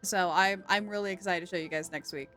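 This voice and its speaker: A woman livestreaming a game with casual, playful, expressive commentary for her viewers. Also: lowish voice, a little fast. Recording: game music behind the voice.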